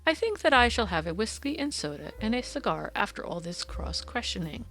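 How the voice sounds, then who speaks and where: A woman voicing a confident, amused gentleman detective who speaks precisely and teasingly in an audiobook story. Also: medium pitch, poor audio.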